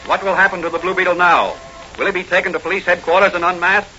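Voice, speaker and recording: Male serial announcer, dramatic delivery, bright cutting tone, muffled old-time radio recording with some noise. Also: sits high, fairly even intonation, fast, soft background music. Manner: dramatic, declamatory. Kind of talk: 1940s radio scripted narration.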